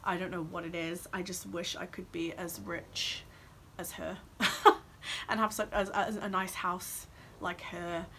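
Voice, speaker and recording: A woman's voice: fairly low pitch, little pitch movement, unhurried, pushed and bright, husky. A woman, chatting casually and ramblingly to camera as a vlogger, saying "like" often. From Wales and Australia. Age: 20s. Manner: rambling, informal, heavy use of "like".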